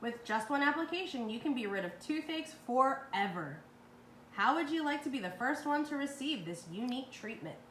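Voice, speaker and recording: A woman's voice: sits high, lively intonation, moderate pace, fairly bright tone. Woman reading as a firm dentist, roomy sound. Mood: businesslike. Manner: firm, matter-of-fact, emphatic. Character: firm, wary, dutiful male mouse dentist. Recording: roomy, echoey with background noise.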